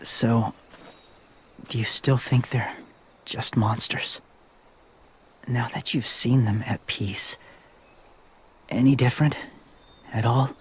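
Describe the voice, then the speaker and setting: A solemn, hushed loner, slow-spoken. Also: male voice, medium pitch, fairly even intonation, slightly rough. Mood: quiet wonder. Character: solemn, gentle male loner who believes walkers are more. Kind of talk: dramatic video game acting. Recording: music under the voice.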